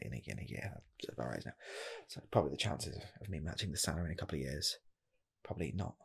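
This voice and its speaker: A man playing an earnest rocket scientist applying for a fast food job, in a soft, half-whispered ASMR roleplay voice that rambles and restarts. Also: a bass voice, vocal fry, slightly rough, heavily acted. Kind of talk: ASMR personal attention roleplay.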